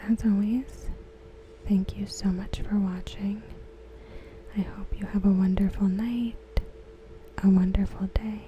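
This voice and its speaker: A woman reading aloud in a soft, breathy, near-whispered ASMR style, gentle and measured, close to the microphone. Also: mid-range voice, steady tone, dull audio, slight background noise. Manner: gentle, hushed, measured delivery. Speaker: ASMR host. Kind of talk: softly spoken ASMR read-aloud of forum comments.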